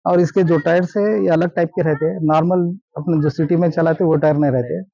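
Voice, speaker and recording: Higher-voiced Hyderabadi man, mellow tone. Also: steady tone, quick pace, gravelly, dry recording. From Hyderabad, India. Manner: explanatory, with English terms mixed in. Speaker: vintage jeep owner and ham radio operator being interviewed. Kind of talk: casual spoken interview. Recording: dull, dry lo-fi recording.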